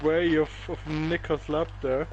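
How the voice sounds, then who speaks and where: A man's voice: fairly high pitch, little pitch movement, a little slow, highs cut off, background noise. A man co-commentating a sim racing session, hesitant and full of fillers as he adds color remarks.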